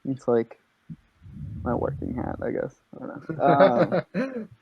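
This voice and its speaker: A man's voice: fairly high pitch, sing-song, slow pace, vocal fry, breathy edge, no room sound, lo-fi sound. A man of twenty-five joining a casual chat as a guest, laid-back, slangy and self-deprecating. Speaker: guest performer.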